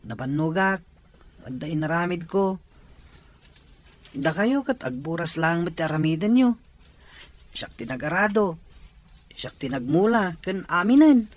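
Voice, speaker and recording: A man's voice: fairly high pitch, lively intonation, measured pace, long pauses. A man playing a hardworking, long-suffering young farmer in a family radio drama, earnest and protesting. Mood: weary protest.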